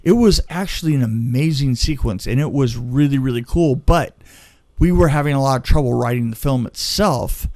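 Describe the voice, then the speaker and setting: A man's voice: mid-range voice, moderate pace, darkish tone, no room sound, studio quality. A man telling behind-the-scenes film stories in a casual, conversational way, acting out the exchanges he quotes. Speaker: film crew veteran, podcast storyteller. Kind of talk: casual anecdotal storytelling.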